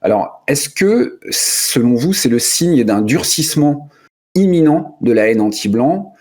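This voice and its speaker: A man's voice: medium pitch, lively intonation, a little slow, darkish tone. A male host opening a radio discussion show, speaking with frequent hesitations and restarts. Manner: hesitant, many fillers and restarts.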